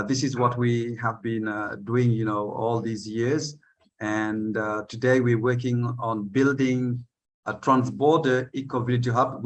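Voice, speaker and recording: Man, from northern Senegal, panel speaker, hesitant, many fillers, video call, middle pitch. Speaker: panel speaker, eco-village leader. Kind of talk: unscripted speech on a panel.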